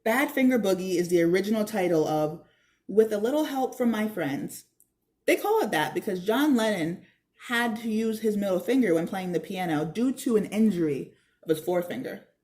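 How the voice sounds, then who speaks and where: A woman's voice: mid-range voice, expressive, moderate pace, darkish tone, natural voice. A woman voicing a bookish, competitive young boy in a storybook, with a know-it-all manner. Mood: smug.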